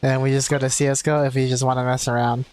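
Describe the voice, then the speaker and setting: A man's voice: mid-range voice, flat delivery, racing, darkish tone. A young man livestreaming a game, chatting casually in a rambling, conversational way. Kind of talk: casual chat while gaming. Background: game music.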